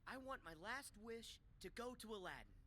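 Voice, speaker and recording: A man's voice: very high-pitched, big pitch swings, moderate pace, bright, forceful tone, slight background noise, heavily acted. A man voicing an earnest, eager young boy hero, loyal and cheerful, in a video game. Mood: warm gratitude. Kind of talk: video game cutscene voice acting.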